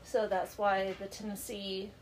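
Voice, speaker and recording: A woman's voice: medium pitch, level tone, measured pace, rough recording. A middle-aged woman with a congested voice, hosting a chatty book club podcast from her home basement and laughing easily. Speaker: podcast host. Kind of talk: casual book club chat.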